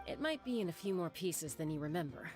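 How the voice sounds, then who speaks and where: A woman's voice: lowish voice, expressive, fairly quick, darkish tone, soft background music, close to an everyday voice. A woman playing a stubborn, cool-headed older sister in a video game, speaking in a dry, self-assured way. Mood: calm.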